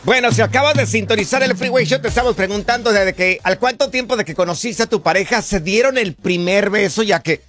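A man hosting a call-in radio show, bantering in a lively way with callers. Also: sits high, brisk, few pauses.

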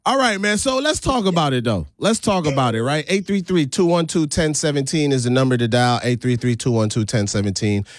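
Male American call-in host, middle-aged, animated delivery, slangy and playfully argumentative, recorded dry. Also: mid-range voice, a little fast, hardly any pauses, no echo. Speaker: call-in talk show host. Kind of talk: casual banter.

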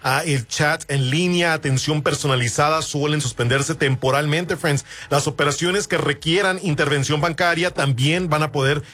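Husky-voiced man in his forties. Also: fairly high pitch, normal speed, hardly any pauses. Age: 40s. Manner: relaxed, lots of "ujú" and "ya" fillers. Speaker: radio host. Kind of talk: casual radio chat.

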